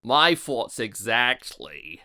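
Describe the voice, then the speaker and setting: A man's voice: mid-range voice, very animated, very slow, background noise. A man narrating a scripted video review in a theatrical, exasperated and sardonic manner.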